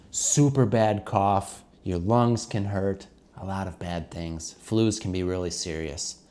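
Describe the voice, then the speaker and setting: A middle-aged man talks in a low, stuffed-up voice while he has a cold. He explains things to learners in a loose, chatty way and keeps calling his viewers guys. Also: darkish tone. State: congested with a cold. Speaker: English teacher vlogger. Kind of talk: casual explanatory vlog to learners.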